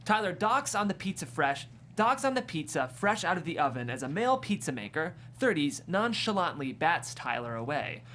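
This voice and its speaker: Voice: medium pitch, very animated, moderate pace, hardly any pauses, a bit bright, slight background noise. A narrator reading screenplay stage directions at a comedy table read in a descriptive, amused manner.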